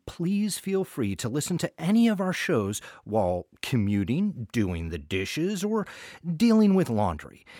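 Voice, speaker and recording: Man with lively, swooping intonation. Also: fairly high pitch, no echo, studio quality. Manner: wry, playful asides. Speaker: artistic director and podcast host. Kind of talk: scripted podcast welcome, chatty narration.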